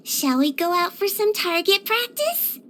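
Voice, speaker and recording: A woman voicing a small, cute non-human girl nurse from a video game, speaking in a sweet, gentle, childlike and earnest way. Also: very high voice, sing-song, unhurried, exaggerated voice. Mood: warm, grateful. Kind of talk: scripted video game character voice lines.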